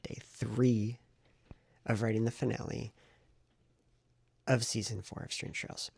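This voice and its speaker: A man talking in a casual vlog style, thinking aloud in an informal, meandering way, with a husky voice. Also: medium pitch, little pitch movement, takes it slowly, darkish tone, no room sound, clean studio sound. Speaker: vlogger and fiction writer. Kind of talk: casual rambling vlog talk.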